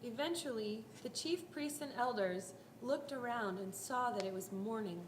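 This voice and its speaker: A woman's voice: fairly high pitch, normal speed. A woman narrating a scripted dramatic reading of a Bible story. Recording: roomy echo, faint background noise.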